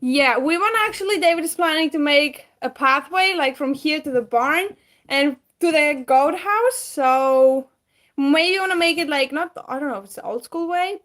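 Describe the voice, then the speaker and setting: A woman's voice: high voice, a bit bright. A woman in her twenties, a native Greek speaker, chatting casually and giggling on a livestream from a rural farmstead. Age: 20s. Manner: chatty and giggly, trailing off mid-sentence. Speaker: co-host of a homestead livestream. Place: rural farmstead, outdoors then indoors.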